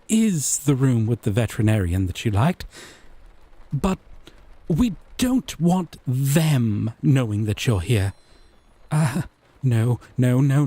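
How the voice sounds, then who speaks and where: A man playing a gentle, obsessive, possessive lover in an intimate romantic roleplay, speaking haltingly and tenderly. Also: mid-range voice, exaggerated voice. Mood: nervous, urgent. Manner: slow, halting, tender, breathy pauses. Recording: rain and thunder ambience behind. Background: rain, thunderstorm.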